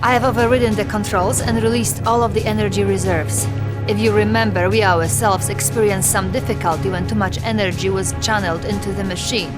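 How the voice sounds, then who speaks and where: A woman's voice: medium pitch, steady tone, natural voice. Poised Russian-accented female officer, acted, music behind. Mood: composed, explaining. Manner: earnest, formal, measured. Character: poised, devoted female Soviet military intelligence officer. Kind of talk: video game mission briefing.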